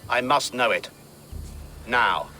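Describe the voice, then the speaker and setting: An actor playing a scheming, ambitious churchman in a video game, speaking in a measured, formal, calculating way. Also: mid-range voice, sharp tone, slight background noise. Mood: calculating, eager. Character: scheming, ambitious churchman, smooth and self-serving. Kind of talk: scripted video game dialogue.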